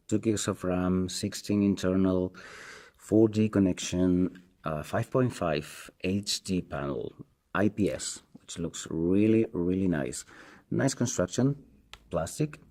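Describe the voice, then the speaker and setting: A man with a Spanish accent and Spanish as his first language, giving a casual, unscripted product review in a halting, hesitant way and repeating himself. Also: sits low, warm tone. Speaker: tech reviewer, video host.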